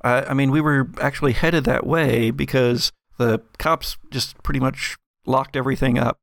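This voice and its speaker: A man voicing a chatty, excitable helper who rambles in a folksy way in a tabletop audio drama. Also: medium pitch, normal speed, gravelly, dry recording, clean studio sound, close to an everyday voice. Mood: chatty, flustered.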